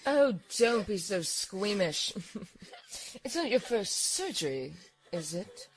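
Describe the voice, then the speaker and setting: Woman acting as a cool calculated nurse in a horror audio play, slow, mid pitch, no room sound. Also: very animated, slightly rough, dry recording, full character voice, poor audio. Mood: mocking. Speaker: actor in an audio drama.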